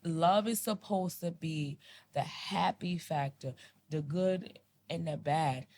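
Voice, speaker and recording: Impassioned woman pleading, low voice. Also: slow, a little mellow. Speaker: podcast co-host. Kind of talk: earnest podcast discussion.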